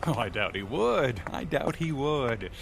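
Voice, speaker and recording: Pushy smooth-talking businessman, wordy, pompous, mid-pitched, very animated, radio drama. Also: measured pace, noisy background. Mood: ingratiating. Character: glib, pompous salesman-lawyer, theatrically smooth and pushy.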